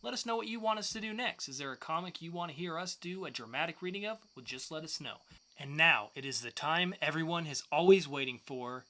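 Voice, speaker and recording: A man's voice: on the high side, lively intonation, a little fast, sharp tone. A man co-hosting a podcast, presenting scripted segments in an upbeat style. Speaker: podcast co-host.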